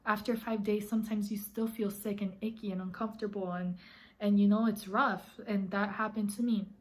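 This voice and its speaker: A woman vlogger with a slightly raspy, hoarse voice as she recovers from illness, talking in an earnest, encouraging way and addressing her viewers directly. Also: medium pitch, steady tone, normal speed, darkish tone, poor audio. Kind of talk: casual advice vlog.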